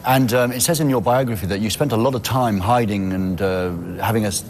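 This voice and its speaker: Quick-talking low-voiced male interviewer. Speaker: interviewer, show host. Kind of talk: TV music interview chat.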